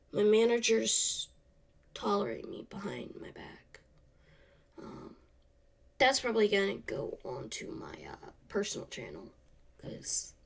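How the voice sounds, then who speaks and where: A young woman hosting a solo podcast, slightly congested from a cold, speaking in a soft, halting way that trails off. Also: mid-range voice, gravelly. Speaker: podcast host. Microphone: camera off to the side.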